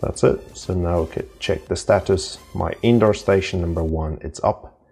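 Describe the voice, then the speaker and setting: A man with a very low, husky and creaky voice presents a software tutorial. He talks informally and haltingly, taking the listener through each step without a script, with faint music behind him. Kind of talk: unscripted software walkthrough.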